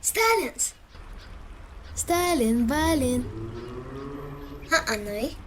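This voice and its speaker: Actress doing cartoon woman, highish, really drawn-out speech, bit of noise. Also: very animated, full character voice. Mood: plain. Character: character in a Chechen animated film. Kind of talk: animated film dialogue.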